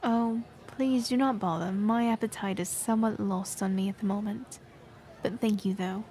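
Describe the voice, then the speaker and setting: Brief: actress as a withdrawn young queen, formal, mid-pitched. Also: steady tone, dark tone, natural voice. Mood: gentle. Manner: measured, regal, formal. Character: reclusive young queen, guarded and weary. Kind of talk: audio drama acting.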